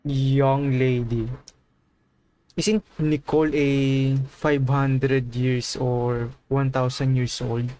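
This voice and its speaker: A man streams a game and comments as he plays. He talks slowly at a medium pitch, in an informal, muttered, reactive way. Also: flat delivery, dark tone, clean tone. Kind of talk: casual livestream commentary.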